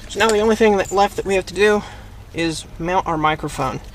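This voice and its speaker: A man's voice: sits high, fairly quick, a little hiss or hum. A man hosting a casual hands-on how-to video, talking through each step and thinking aloud as he works inside a vehicle. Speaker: host of a how-to video channel. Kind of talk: casual hands-on tutorial narration. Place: inside or beside a parked vehicle.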